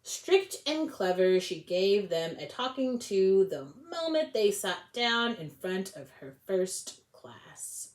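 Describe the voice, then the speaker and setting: A woman reading a storybook aloud as a read-along host, expressive and informal, occasionally correcting herself. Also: medium pitch, slow pace, few pauses, poor audio. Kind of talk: storybook read-along narration with casual asides.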